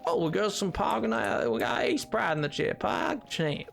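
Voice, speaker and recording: High-ish male streamer with Southern drawl. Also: normal speed, creaky, fairly bright tone. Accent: Southern-style US drawl, put on. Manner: rambling, hesitant explanations. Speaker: livestreamer. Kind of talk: casual chat with viewers. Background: music.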